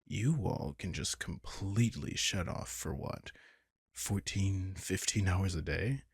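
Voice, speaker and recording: Husky, low-voiced vampire king played by a man. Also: takes it slowly, no room sound, studio quality, heavily acted. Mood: musing. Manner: teasing, condescending, casually profane. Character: possessive, sardonic vampire king, smug and impatient. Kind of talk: intimate roleplay audio drama.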